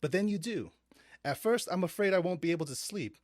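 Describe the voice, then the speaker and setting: A man's voice: high voice, expressive, no room sound, clean studio sound. Man reading as an eager teenage girl. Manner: halting attempt at a British accent. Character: excited teenage girl telling her story.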